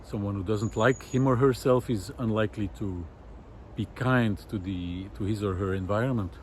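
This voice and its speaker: A man speaking a reflective, unscripted monologue, thoughtful and halting as he searches for words. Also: fairly low pitch. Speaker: host of a nature project podcast. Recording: outdoor city noise, wind, distant traffic. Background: faint city noise, wind, vehicles.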